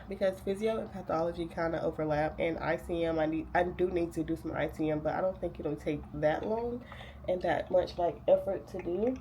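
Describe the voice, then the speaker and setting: A woman's voice: fairly low pitch, warm tone, slight background noise. A young woman vlogging casually while getting ready to study, sounding nasal from a cold, chatty and rambling with lots of fillers. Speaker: vlogger and graduate student. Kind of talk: casual day-in-the-life vlog chat.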